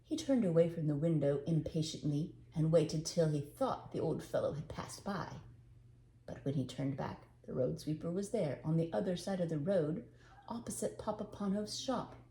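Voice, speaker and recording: A woman's voice: low voice, moderate pace, warm tone, roomy sound, low-quality recording. An older woman narrating a storybook tale expressively as a storyteller.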